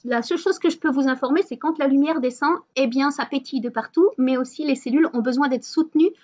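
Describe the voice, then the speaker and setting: A woman hosting an online wellness meeting, talking about spirituality and the body in a casual way, often pausing on fillers and restarting her sentences. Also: high-pitched, a little mellow, dull audio. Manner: hesitant, many fillers and restarts.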